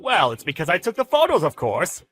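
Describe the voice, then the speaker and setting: A man's voice: high-pitched, wildly swooping pitch, fairly quick, a bit bright, slightly rough, faint music behind, exaggerated voice. A man playing a smug, bullying boss in a dramatized story, with a taunting, slangy, exclamatory delivery. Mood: gloating, mocking. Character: smug bullying male boss, gloating and contemptuous. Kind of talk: dramatized story narration with character voices.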